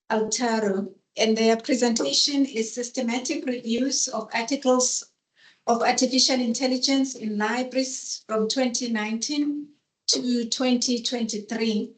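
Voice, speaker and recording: Hesitant woman moderator, echoey room. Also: a little high. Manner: hesitant, many fillers. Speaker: seminar moderator.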